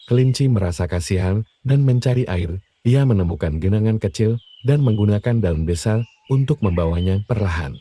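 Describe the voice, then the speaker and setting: A man narrating scripted children's bedtime stories with a dark, husky voice. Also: on the low side, a little hiss or hum. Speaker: storyteller narrator.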